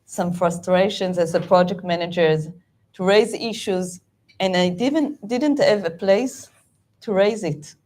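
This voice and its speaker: A middle-aged woman with an Israeli accent, a native Hebrew speaker, giving a halting, animated talk with many fillers and restarts as a guest speaker at a meetup. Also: on the low side. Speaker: guest speaker, project management VP.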